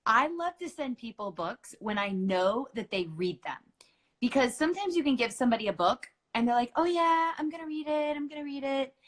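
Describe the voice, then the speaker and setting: A woman's voice: sits high, lively intonation, fairly quick. A middle-aged woman hosting a book club, talking in a chatty, playful way with lots of fillers. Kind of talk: casual chat.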